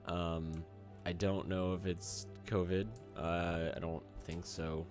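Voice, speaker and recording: Hoarse young man with a cold. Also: low-pitched, flat delivery, takes it slowly, music in the background. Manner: rambling, filler-heavy and chatty. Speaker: gaming channel host. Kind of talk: casual gameplay commentary.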